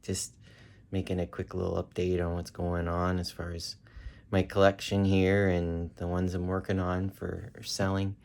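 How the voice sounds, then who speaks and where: A man in his thirties talking casually and haltingly, thinking aloud as he presents a home repair vlog on a handheld phone. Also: sits low, monotone, gravelly, a little mellow. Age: 30s. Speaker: hobbyist repairer showing his work. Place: carpeted room at home. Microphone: handheld phone, at arm's length.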